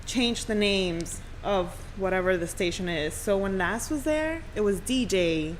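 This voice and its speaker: A woman's voice: medium pitch, animated, unhurried. Bilingual woman radio personality, noisy recording. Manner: bilingual, mixes Spanish words into English. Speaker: radio personality, podcast guest. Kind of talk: casual interview chat.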